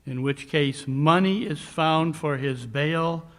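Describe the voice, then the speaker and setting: A man's voice: a little high, a bit bright. A man playing a coolly brilliant, brooding Victorian detective in a 1940s radio mystery style, speaking in precise, declamatory phrases. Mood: grave, brooding. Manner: deliberate, precise, declamatory monologues. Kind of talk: 1940s radio mystery acting, live re-creation.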